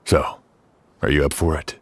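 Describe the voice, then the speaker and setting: A stern, businesslike sheriff in a video game. His voice is low and dry, and he lays out plans in a measured, steady way. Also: breathy edge, no room sound, exaggerated voice.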